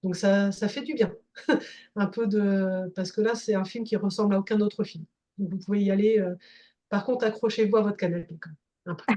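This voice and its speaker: A woman's voice: mid-range voice, fairly even intonation, normal speed, dark tone, muffled recording. A woman chatting casually as a podcast guest, hesitant and full of fillers and restarts. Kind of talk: casual chat.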